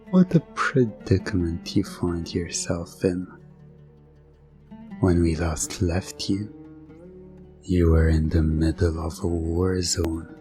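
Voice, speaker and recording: Man, hypnotic ASMR story narrator, deep, unhurried and drawn-out, theatrical, dramatic pauses, clear guitar music behind. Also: mellow.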